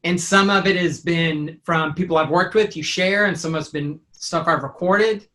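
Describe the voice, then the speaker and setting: A man's voice: a little high, moderate pace, bright, forceful tone. A man talking casually in an interview, rambling and thinking aloud with enthusiasm. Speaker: sound designer and composer; teacher.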